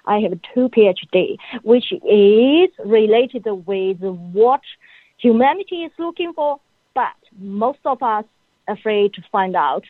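Female guest, halting and filler-heavy, heard over a dry, tinny phone line. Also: mid-range voice, expressive, no room sound. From China. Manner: halting, frequent uh fillers, non-native grammar. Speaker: guest, PhD in psychology. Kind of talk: phone-in interview answers.

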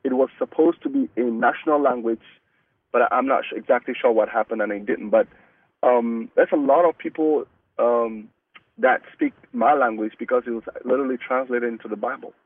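A man's voice: medium pitch, expressive, no room sound. Cameroonian guy, earnest, lots of restarts, sounds like a phone line, no room sound. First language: Mungaka. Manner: earnest, halting with many restarts. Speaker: guest. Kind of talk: casual interview talk. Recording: dry, tinny phone-like line.

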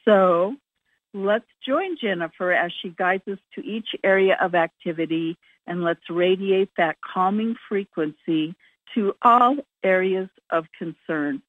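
A woman with a lowish voice hosts a conference call and introduces the next speaker. She comes through a tinny phone line with a dry sound. Her delivery is smooth and orderly. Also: measured pace, dry recording. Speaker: host.

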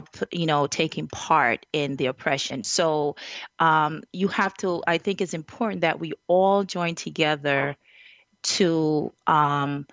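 A woman hosting a conversational interview, speaking earnestly with a halting delivery. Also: low-pitched, dry recording. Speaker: interviewer, show host. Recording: slightly dull lo-fi audio.

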